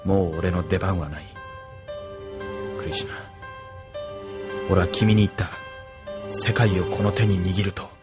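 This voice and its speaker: An actor voicing a cold, ambitious young officer who speaks in a cool, detached way, in a studio radio drama. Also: male voice, sits low, a little slow, pauses often, loud music behind the voice. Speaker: military officer and pilot.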